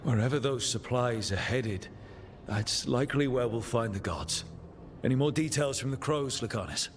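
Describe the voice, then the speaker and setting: A man's voice: mid-range voice, expressive, normal speed, a little hiss or hum, lightly acted. A man acting a resolute, plain-spoken leader of adventurers in a video game. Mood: determined.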